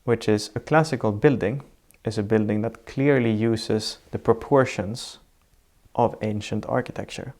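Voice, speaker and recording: A man with a Swedish accent, a Swedish native speaker, answering interview questions as an architect in a measured, reflective way, laying out ideas step by step. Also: lowish voice, fairly even intonation, a little mellow. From Sweden. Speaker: architect guest. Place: sitting indoors in Norway.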